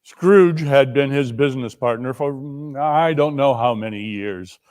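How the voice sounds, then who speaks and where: A man narrating a classic story theatrically, leaning deliberately on key words. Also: mid-range voice, darkish tone, clean tone. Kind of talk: dramatic reading of a classic story.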